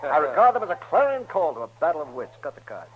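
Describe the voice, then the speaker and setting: An actor playing a sly, dandyish card cheat in a radio western, speaking in a pompous, ornate, theatrical way. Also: male voice, on the high side, very animated, fast talker. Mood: grandly smug. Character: flowery, sly, dandyish crooked card gambler. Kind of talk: 1950s radio western acting. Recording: dull old radio recording, faint noise.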